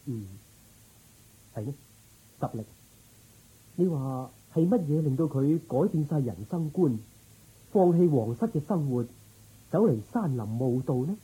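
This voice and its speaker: An actor playing a dutiful, inquisitive military officer in a radio drama, with a musing way of talking. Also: male voice, on the high side, lively intonation, unhurried, pauses often, warm tone, husky, full character voice, poor audio. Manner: musing, questioning.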